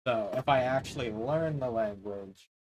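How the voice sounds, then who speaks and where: A man's voice: medium pitch, moderate pace, highs cut off, slight background noise. A man livestreaming a video game, talking in a casual, rambling way. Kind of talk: casual rambling stream chat. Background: game music.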